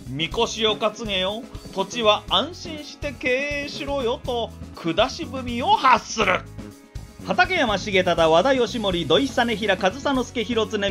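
A woman acting a brash, talkative samurai scout in a radio drama, reeling off war news in rough, theatrical storytelling patter. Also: fairly high pitch, a bit bright. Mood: excited, boastful.

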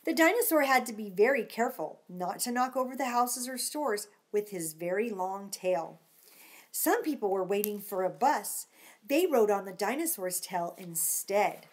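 Middle-aged woman, expressive storytime reader, very varied intonation, child-directed asides. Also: medium pitch, normal speed. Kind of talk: expressive storybook read-aloud with chatty asides. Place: living room at home.

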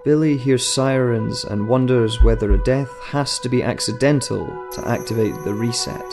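A man's voice: medium pitch, normal speed, gravelly. A man reading a scripted short story as a dramatic narrator. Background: music.

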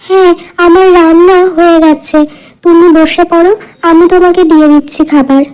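A woman acts a humble but proud village wife in a cartoon fairy tale. Her high, mellow voice is gentle and plain-spoken, over light music. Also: steady tone, moderate pace, rough recording. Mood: cheerful. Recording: music under the voice.

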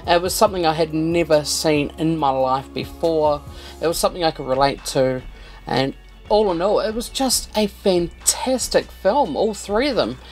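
A man's voice: a little high, normal speed, faint music behind. A man hosting a fan video, talking casually to camera with lots of fillers. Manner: relaxed, filler-heavy talk. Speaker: host.

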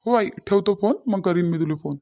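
Man acting high-voiced, fast-talking cartoon husband. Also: gravelly. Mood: placating. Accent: Varhadi Marathi of Vidarbha. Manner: repetitive, reasoning, persuasive. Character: earnest husband and dutiful son, coaxing, worn down. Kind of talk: cartoon dialogue. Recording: dull, lo-fi sound.

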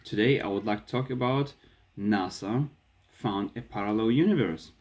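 A man's voice: lowish voice, moderate pace. A man in his thirties with a Ukrainian accent, talking to a phone camera in a small room and gesturing as he speaks. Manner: animated, gestures with his hands while talking. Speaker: vlogger. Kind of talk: casual talk to camera. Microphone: phone camera at arm's length.

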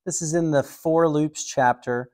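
A man's voice: fairly high pitch, steady tone, unhurried, darkish tone. Casual man thinking aloud, coding tutorial. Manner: thinks aloud, frequent fillers and restarts. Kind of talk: casual screen-recorded walkthrough.

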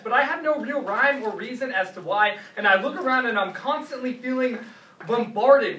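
A man's voice: extremely high pitch, bright, forceful tone. A man performing slam poetry in an intense, emphatic, rhythmic delivery that leans on repeated words. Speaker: slam poet. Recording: roomy echo, distant mic, faint noise.

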